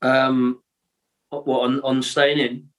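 A man's voice: medium pitch, little pitch movement, takes it slowly, a bit bright. A man, the band's singer, chatting casually in a podcast interview with dry, joking asides. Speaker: band singer.

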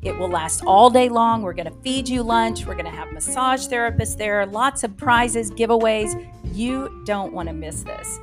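A woman's voice: on the high side, lively intonation, normal speed, hardly any pauses, over music. A woman hosting a podcast as a practice coach, speaking straight to her listeners in an emphatic way with rhetorical questions.

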